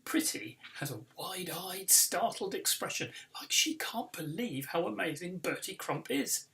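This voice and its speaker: A man with a British accent reads a children's story expressively, as its author. His voice is bright and forceful with a gravelly creak, recorded slightly away from the microphone. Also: high-pitched, animated, unhurried, distant mic. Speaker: author reading own book.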